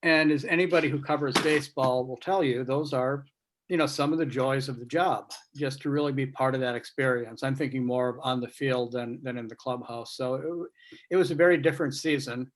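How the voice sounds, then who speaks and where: A man's voice: mid-range voice, steady tone, fairly quick. Reflective man in casual talk. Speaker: sports writer on a panel. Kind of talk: casual video-meeting talk. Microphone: video call.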